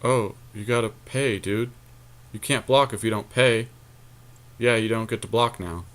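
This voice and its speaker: Low-pitched man chatting through a card game. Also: unhurried, fairly bright tone. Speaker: podcast co-host playing a card game. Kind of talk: casual game commentary chat.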